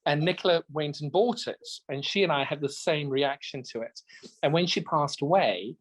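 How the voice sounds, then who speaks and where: A man's voice: on the high side, moderate pace, low-quality recording. A man from Zimbabwe co-hosting a podcast, chatting in an effusive, storytelling way. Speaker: podcast co-host. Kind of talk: casual chat.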